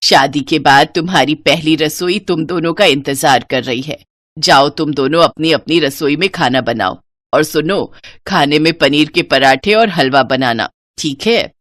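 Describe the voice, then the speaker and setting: A woman's voice: low voice, measured pace, a bit bright, dry recording, clean studio sound, voice pushed down. A woman voicing a kindly, hearty older mother-in-law in a moral story, repeating words as she speaks. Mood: instructing. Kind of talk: Hindi moral story character acting.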